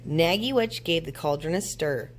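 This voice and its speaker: A woman reading a children's storybook aloud in an expressive narrating style. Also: sits low, moderate pace, a little hiss or hum. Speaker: storybook reader.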